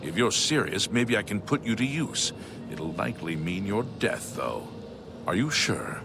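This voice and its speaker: An actor playing a weary but determined city guard captain in a fantasy video game, commanding and exasperated. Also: male voice, fairly low pitch, sing-song, a little slow, vocal fry, over music, exaggerated voice. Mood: grim resolve.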